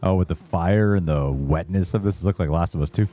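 Man with a low voice over game music. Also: brisk. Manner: excited exclamations and swearing. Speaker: reaction show co-host. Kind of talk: casual reaction chat over game footage. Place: indoor studio. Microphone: headset mic. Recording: music in background. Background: loud game soundtrack.

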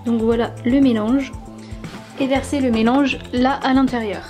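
A young woman in a casual voice-over, explaining each step of a craft toy in a relaxed way with small fillers. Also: on the high side, normal speed, soft background music. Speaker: toy review YouTuber.

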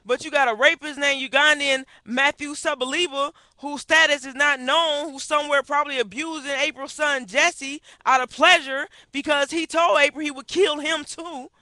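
A woman's voice: high voice, fairly even intonation, moderate pace, hardly any pauses, sharp tone, no room sound. A middle-aged woman giving impassioned, indignant commentary into a webcam headset in a small room, asking pointed rhetorical questions. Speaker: activist vlogger. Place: small wood-paneled room indoors.

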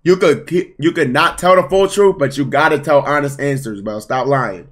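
A young man hosting a casual guessing game on his stream, loud and teasing, full of slang, speaking into a headset at home. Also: fairly high pitch, normal speed. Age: young adult. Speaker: streamer host. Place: indoor room at home.

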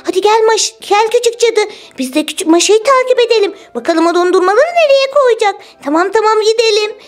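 A woman's voice: very high-pitched, expressive, fairly quick. A woman voicing sneaky, greedy little schemers in a children's doll story. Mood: scheming. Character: sneaky, greedy little witch and girl sidekick. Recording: music bed under the voice.

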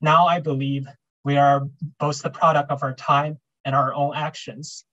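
Young guy reading a graduation speech, kinda high voice, muffled zoom audio. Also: level tone, a bit bright. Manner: measured, earnest delivery with repeated refrains. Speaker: graduating PhD student speaker. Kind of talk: prepared commencement speech. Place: home room on video call, virtual backdrop. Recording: dull lo-fi video call audio.

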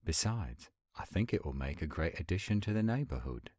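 A man acting an earnest young werewolf pack leader in a roleplay audio drama, speaking in a measured way. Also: low-pitched, sing-song, a little mellow, no room sound, studio quality. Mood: earnest, hopeful.

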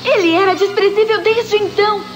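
Perky robot girl, brazilian cartoon dub, super high quick voice, loud music behind. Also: steady tone. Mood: surprised. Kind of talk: dubbed cartoon acting.

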